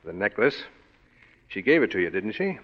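An actor playing a gruff, curt male police lieutenant with clipped speech, in a 1940s radio play on a dull old broadcast. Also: on the low side, big pitch swings, moderate pace.

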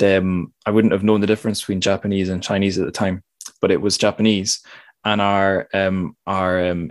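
A man hosting a podcast, talking casually and thoughtfully in a rambling way, often correcting himself as he goes. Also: fairly low pitch. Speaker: podcast host interviewing a guest. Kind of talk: casual conversational interview.

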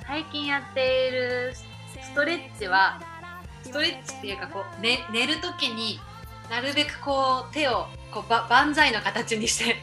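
A woman's voice: high voice, normal speed, over music. A woman co-hosting a podcast in casual, conversational chat. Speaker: podcast co-host; writer.

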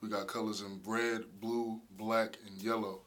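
A young man from the USA hosting a casual podcast chat, talking with easy slang and animated energy. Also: fairly low pitch, unhurried. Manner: lively, animated, slangy, does voices when retelling. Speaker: podcast host.

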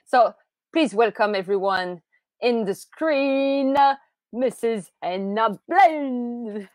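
A French Canadian woman from Montreal, a native French speaker, hosting a live podcast, enthusiastic and halting, with many restarts. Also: fairly high pitch, lively intonation, no echo, studio quality. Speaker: podcast host. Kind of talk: live-streamed interview podcast.

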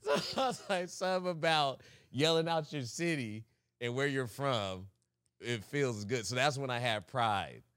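A young man from Fresno co-hosting a podcast, telling animated stories and breaking into laughs and shouts at the punchlines. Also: medium pitch, a little slow, clean tone, no echo, clean studio sound. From Fresno, California. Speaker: podcast co-host. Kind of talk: casual storytelling chat.

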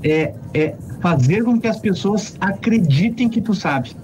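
A man chatting in a radio studio, relaxed and wry in his humor. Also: high-pitched, unhurried, a little hiss or hum, rough recording. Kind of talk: casual studio banter.

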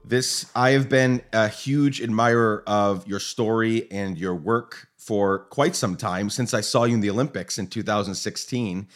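A man's voice: on the low side, fairly even intonation, measured pace, hardly any pauses, faint music behind. A man hosting a podcast, enthusiastic and effusive, often stumbling and restarting his sentences. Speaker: podcast host. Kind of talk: casual podcast interview. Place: Nashville.

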